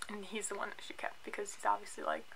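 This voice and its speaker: A woman in her twenties with an American accent, chatting casually and giving opinions in an animated way as a vlogger. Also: mid-range voice, fast, vocal fry, in a whisper, poor audio. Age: 20s. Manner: opinionated, animated, filler-heavy with "like". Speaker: YouTube vlogger reviewing a reality show. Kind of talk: casual chatty vlog commentary. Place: small indoor room at home, by a bookshelf.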